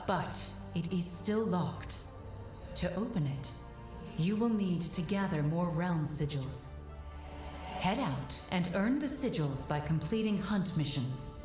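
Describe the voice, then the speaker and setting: An actress playing a calm, devoted construct who guides a warrior in a fantasy video game, composed and formal. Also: female voice, on the low side, takes it slowly. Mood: calm, guiding. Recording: echoing, with loud music. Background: game music.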